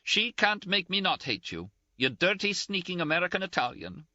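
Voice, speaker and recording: A man voicing a tearful, scolding young Scottish nurse, shrill and sobbing in an audiobook. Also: very animated, moderate pace, sharp tone, dry recording, muffled recording, heavily acted. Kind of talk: audiobook character voice.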